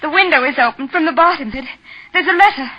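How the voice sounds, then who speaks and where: Actress as high-voiced, distressed woman. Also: close to an everyday voice. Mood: shaken, anxious. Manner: halting, agitated. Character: distressed woman reporting a man's death. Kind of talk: 1940s radio horror acting. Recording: muffled vintage 1940s radio recording.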